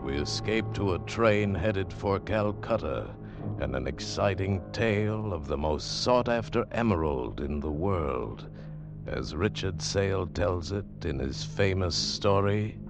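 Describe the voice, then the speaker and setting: Very deep, portentous male radio announcer. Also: animated. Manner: portentous, deliberate. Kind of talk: dramatic scripted 1940s-50s radio announcing. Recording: vintage radio broadcast over music.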